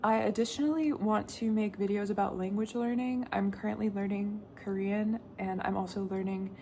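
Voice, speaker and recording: Chatty young woman with vocal fry. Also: medium pitch, steady tone, moderate pace, a little mellow, slight background noise. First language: Danish. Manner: chatty, talks on and on, laughs often. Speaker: book vlogger. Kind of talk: casual chat to camera.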